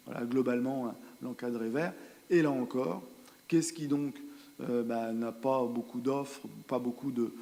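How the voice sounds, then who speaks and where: Halting male presenter in a reverberant hall. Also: medium pitch, warm tone. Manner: hesitant, halting delivery with repeated words. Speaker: presenter of a territorial project at a council meeting. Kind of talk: spoken presentation of survey results and strategy, partly improvised.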